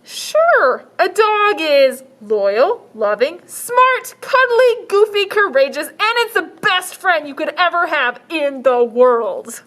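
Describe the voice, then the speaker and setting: Woman putting on a stubborn little girl in a storybook, very high squeaky voice, insistent. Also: very animated, takes it slowly, hardly any pauses, fairly bright tone, heavily acted. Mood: firm. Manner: firm, insistent, childlike. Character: stubborn little girl who wants a dog.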